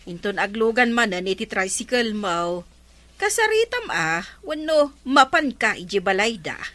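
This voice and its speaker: A woman's voice: medium pitch, sing-song, moderate pace, bright, forceful tone, no echo. An actress with an Ilocano accent playing an inquisitive, playful village councilwoman in a comedy drama.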